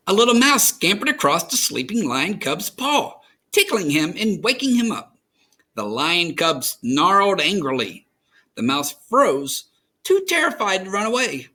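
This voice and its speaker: An American man narrates a children's storybook with dramatic expression. His voice is bright and forceful, and his pitch moves widely as he tells the tale. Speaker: children's story reader, host.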